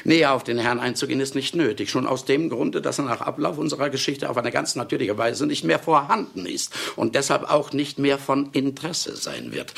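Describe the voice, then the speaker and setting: An older man, an actor in a German radio play, playing a vain, boozy, brusque writer with a gravelly, husky voice and a theatrical, self-mocking manner. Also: medium pitch, fairly bright tone, heavily acted. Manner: theatrical, self-ironic, blustering, chuckling asides.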